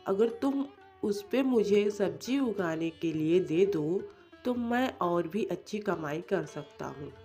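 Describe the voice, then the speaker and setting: A woman's voice: mid-range voice, mellow, voice pushed down. A woman voicing a clever, kindly young village man who sells vegetables in a cartoon story, friendly and earnest. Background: music.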